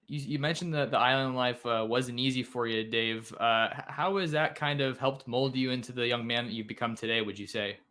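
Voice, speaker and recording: Brief: male podcast host, forceful bright tone, friendly, stumbles into his questions. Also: mid-range voice, steady tone, a little fast, few pauses. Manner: friendly, restarts often. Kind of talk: casual interview.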